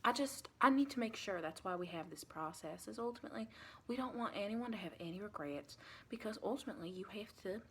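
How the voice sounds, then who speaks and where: A woman playing a sweet, chatty vampire lady with a Southern US accent, soft-spoken and partly whispering in a rambling, reassuring ASMR roleplay. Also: medium pitch, slight background noise, natural voice. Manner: folksy, rambling, reassuring, doting.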